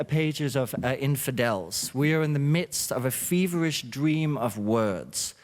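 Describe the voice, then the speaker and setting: A man's voice: a little high, darkish tone. Man introducing a speaker in a hall. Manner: halting, with frequent uh fillers. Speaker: symposium host. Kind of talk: read introductory speech. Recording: long hall reverb.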